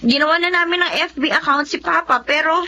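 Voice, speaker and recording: A woman's voice: extremely high pitch, fairly quick, pushed and bright, heavily acted. A woman playing an emotional, teasing grown daughter and younger sister in a family drama. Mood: anxious. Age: young adult. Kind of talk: dramatic dialogue acting. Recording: dull lo-fi audio, faint noise.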